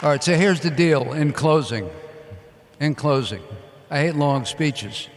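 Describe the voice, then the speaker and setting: A man's voice: a little high, a little slow, crowd noise, background noise. A man giving a motivational commencement speech in emphatic short, repeated phrases. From Queens, New York, United States. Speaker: commencement speaker. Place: large indoor arena at a commencement. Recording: echoey large arena, long reverb.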